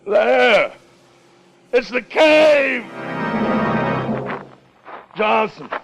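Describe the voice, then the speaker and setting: An actor playing a fevered, gold-crazed treasure hunter in a melodramatic, raving, halting style of 1950s radio drama. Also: male voice, very high-pitched, creaky, fairly bright tone, exaggerated voice, poor audio. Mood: wild elation.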